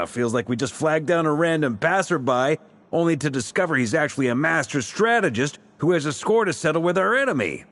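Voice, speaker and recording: A man's voice: a little high, expressive, normal speed, a bit bright. An actor voicing a cheerful, wisecracking young man in video game dialogue. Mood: amused.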